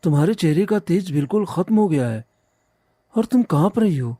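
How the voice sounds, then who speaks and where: A man playing a devoted, trusting young village husband in a cartoon story, earnest and gentle. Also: high voice, lively intonation, moderate pace, no echo, clean studio sound. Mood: worried. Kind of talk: cartoon moral story acting.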